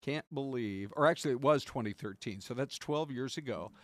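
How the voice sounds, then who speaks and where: A man's voice: medium pitch, fairly quick, no echo, clean studio sound. An American man co-hosting a talk show, chatting casually about news and weather in a folksy way.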